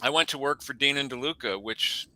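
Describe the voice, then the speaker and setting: A man's voice: medium pitch, fairly quick, bright, forceful tone, dry recording. A man in his sixties from the American Midwest, co-hosting a casual chat and rambling with many restarts, talking from a home office. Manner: rambling, self-correcting. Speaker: co-host.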